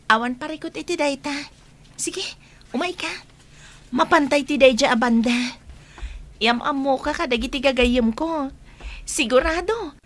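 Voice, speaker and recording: Woman doing friendly welcoming young trainee, high voice, ilocano accent, reassuring, radio drama. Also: unhurried, pauses often.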